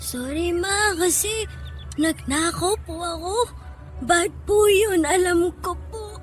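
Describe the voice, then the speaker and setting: A very high, childlike little boy's voice in a radio drama, with faint music behind. The boy is innocent and plaintive, speaking politely and pleadingly to his mother. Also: takes it slowly. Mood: meek, sorry. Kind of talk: Tagalog radio drama acting.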